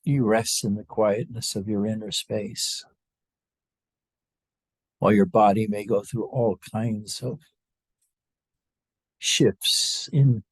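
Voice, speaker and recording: A man leading a guided meditation as a spiritual teacher, speaking to a group in a slow, halting, unscripted way with long pauses. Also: lowish voice, steady tone, slightly rough, no echo, rough recording.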